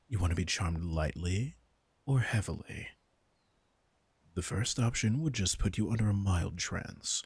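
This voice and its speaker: Male actor as ancient vampire king, very low pitch, gravelly fry, sardonic, coaxing, foul-mouthed, intimate roleplay audio. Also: darkish tone, dry recording, full character voice. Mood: coaxing, menacing. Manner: casual, sardonic, swearing, coaxing his prey. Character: arrogant, teasing, foul-mouthed vampire king, centuries old.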